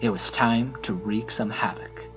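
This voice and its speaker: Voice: mid-range voice, steady tone, measured pace. A narrator reading a scripted fantasy story in an audio drama. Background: music.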